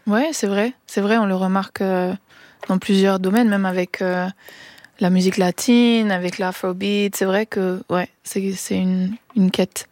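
A woman's voice: mid-range voice, steady tone, measured pace, darkish tone, no room sound. A young woman guest on a radio show in a studio, talking casually with many restarts and English words mixed into her French. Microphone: studio microphone.

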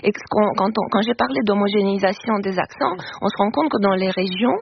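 A woman's voice: mid-range voice, fast, creaky. Expert guest: woman, explanatory and emphatic, dull radio sound with noticeable hiss. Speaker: linguist guest. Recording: muffled radio with background noise.

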